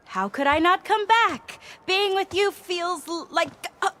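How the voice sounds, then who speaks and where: A woman's voice: extremely high pitch, measured pace, bright, forceful tone, full character voice. A woman playing a nervous, flustered young woman in a video game, faltering and anxious as she speaks. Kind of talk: video game cutscene acting.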